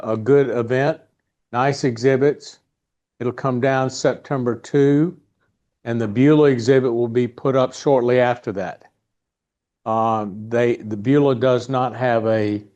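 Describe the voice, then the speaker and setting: A man hosting a meeting, giving informal announcements and introducing a guest in a halting, easygoing way. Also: mid-range voice, darkish tone, muffled recording. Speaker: club meeting host. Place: indoor meeting room.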